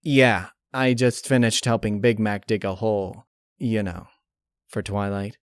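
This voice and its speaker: A man's voice: lowish voice, fairly even intonation, measured pace, no room sound, studio quality, close to an everyday voice. A man voicing a blunt young female pegasus pony in a story, talking in a casual, slightly nervous way. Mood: uneasy, brisk.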